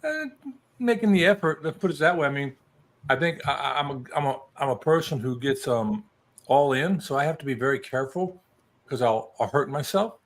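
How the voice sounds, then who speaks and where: A man's voice: a little high, expressive, brisk. A man in his early sixties chatting as a guest on a video call. He rambles, with many restarts, and is self-deprecating. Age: 60s. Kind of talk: casual video call chat.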